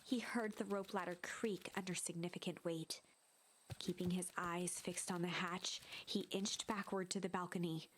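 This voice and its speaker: A woman narrating a video game like a storybook, in a measured, dramatic way. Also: lowish voice, steady tone, a little hiss or hum, lightly acted, rough recording.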